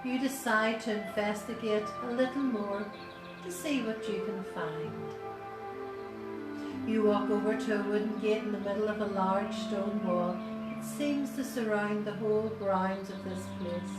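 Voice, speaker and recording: Slow-paced woman reading a guided meditation. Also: medium pitch. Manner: measured, pausing between short phrases. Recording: roomy echo, distant voice, loud music. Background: music.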